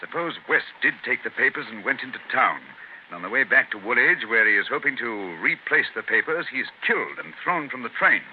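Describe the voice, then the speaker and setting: Man acting animated, fast-talking English gentleman detective. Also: mid-range voice, close to an everyday voice. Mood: musing, puzzled. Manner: theatrical, repeats phrases, quick questioning. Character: brisk, incisive English gentleman detective, musing and theatrical. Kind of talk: 1930s radio drama acting. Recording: scratchy, tinny 1930s radio recording.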